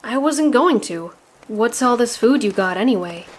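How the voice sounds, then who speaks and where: A woman's voice: fairly high pitch, animated, normal speed. A woman voicing a tough, sardonic young female ninja turtle in a comic dub, speaking in a dry, casual way.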